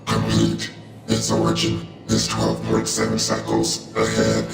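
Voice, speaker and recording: A man voicing a cold, loyal robot intelligence officer in a video game, speaking in an emotionless, clipped monotone. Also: lowish voice, unhurried, gravelly, full character voice. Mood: flat, dutiful. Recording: loud game music behind.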